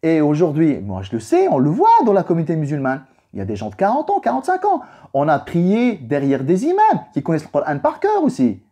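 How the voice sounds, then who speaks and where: A man's voice: a little high, huge pitch swings, brisk, warm tone, breathy edge. A young man, a native Arabic speaker, giving an informal motivational religious reflection in an emphatic way, stressing points with repetition. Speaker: vlogger giving a personal reflection.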